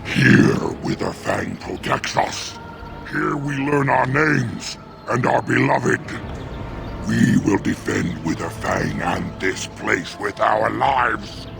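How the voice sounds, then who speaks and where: Menacing game werewolf: very gravelly growl, slow and solemn, music underneath. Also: male voice, on the low side, exaggerated voice. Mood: defiant. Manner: slow, solemn, threatening speech. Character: fierce, loyal werewolf guardian of the forest, growling and menacing.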